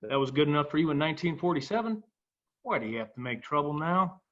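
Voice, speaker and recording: High-pitched man playing a stern club owner. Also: very animated, quick pace, a bit bright, highs cut off. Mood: stern, irritated. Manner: reproachful, emphatic. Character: stern, scolding male baseball club owner. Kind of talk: dramatic table read.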